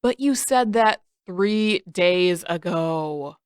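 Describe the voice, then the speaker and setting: A woman's voice: lowish voice, expressive, extremely slow, clear, resonant tone, no echo, studio quality. A woman voicing a sarcastic, complaining teenage boy who grumbles through a story reading.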